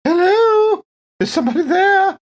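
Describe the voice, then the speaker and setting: A woman's voice: very high voice, animated, unhurried, voice pushed up. A woman voicing a polite, flustered older lady traveler in a tabletop game, hesitant and genteel in manner. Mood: wary, nervous. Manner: fussy, hesitant, genteel exclamations.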